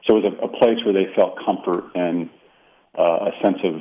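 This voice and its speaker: A man's voice: deep voice, steady tone, fairly quick. A middle-aged man, an author who grew up speaking Italian, talking reflectively in a radio interview with many fillers and restarts. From Colorado, United States. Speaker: author guest. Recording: tinny phone line.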